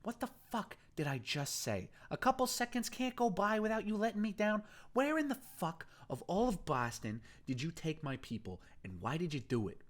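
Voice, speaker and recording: A man's voice: high voice, big pitch swings, moderate pace, hardly any pauses, pitched above the performer's own voice. A man voicing Boston, a swearing gang boss. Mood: furious. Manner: swearing, menacing, raised and shouting. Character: furious, profane male gang boss, threatening then coaxing. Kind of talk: audiobook character voice.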